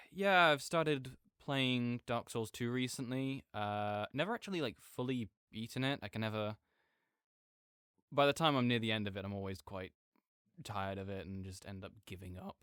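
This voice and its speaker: A man's voice: medium pitch, a bit bright, clean tone, no echo, studio quality, lightly acted. A man playing a friendly, apologetic gamer roommate in an audio roleplay, chatting casually with hesitant, rambling, self-interrupting speech. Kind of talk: casual improvised-sounding audio roleplay monologue.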